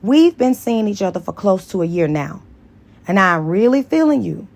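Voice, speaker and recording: A woman's voice: mid-range voice, sing-song, moderate pace, creaky, darkish tone. A woman voicing a persistent, smitten married man who pleads with his lover in an audiobook. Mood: pleading. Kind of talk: dramatized audiobook dialogue.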